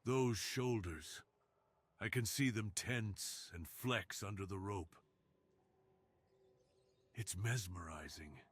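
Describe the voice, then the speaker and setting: A man voicing an alluring, dominant crime boss in an intimate ASMR roleplay, speaking in a slow, teasing, hushed way. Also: fairly low pitch, husky. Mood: dominant, admiring. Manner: slow, teasing, hushed delivery that drops into whispers. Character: alluring, dominant, seductive male crime boss with a tender side.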